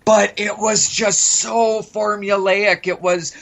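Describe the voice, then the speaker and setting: A man's voice: sits high, flat delivery, takes it slowly, creaky, fairly bright tone. A man co-hosting a podcast in a casual review chat, conversational and a little tentative, repeating his phrases. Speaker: podcast co-host. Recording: dull, lo-fi audio.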